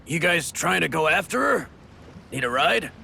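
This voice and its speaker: Casting: hearty sea dog for a game, high gravelly voice, salty folksy slang, noise behind. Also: male voice, sing-song, normal speed, bright, forceful tone, exaggerated voice. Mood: easygoing. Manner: folksy, colloquial nautical slang. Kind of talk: video game voice acting. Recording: noisy, ambient background sound.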